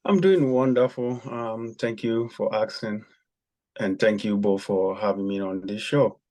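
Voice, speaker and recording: Man, lowish voice, podcast guest, hesitant, lots of ums. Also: darkish tone. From Ghana. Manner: hesitant, many ums and pauses. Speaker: guest, former javelin athlete. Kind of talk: conversational podcast storytelling.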